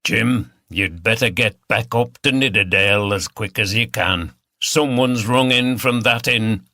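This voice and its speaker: A man voicing a blunt, irritable police boss in an audiobook, grumbling and forceful. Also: lowish voice, unhurried, dry recording, studio quality. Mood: urgent.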